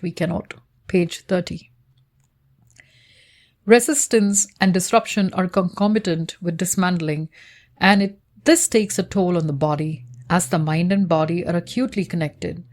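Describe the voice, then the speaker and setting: A woman whose first language is Tamil, reading her academic thesis aloud in a measured way, citations and page numbers included. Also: fairly low pitch. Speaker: researcher explaining her thesis.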